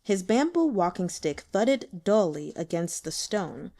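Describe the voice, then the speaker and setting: A woman's voice: medium pitch, big pitch swings, unhurried, warm tone. A woman reading a novel aloud as scripted narration. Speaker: audiobook reader.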